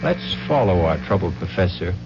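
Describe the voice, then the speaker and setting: A man's voice: low-pitched, big pitch swings, moderate pace, gravelly, background noise, heavily acted. A man playing a droll, macabre radio mystery host, theatrical and wry, delivering puns in a scripted style. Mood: wry, ominous. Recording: dull vintage radio broadcast, music behind.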